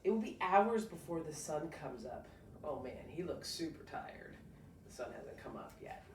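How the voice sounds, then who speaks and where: A woman teacher reading a picture book aloud to a child, pausing to explain and prompt with encouraging questions. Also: deep voice, sing-song. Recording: roomy, distant mic, faint noise.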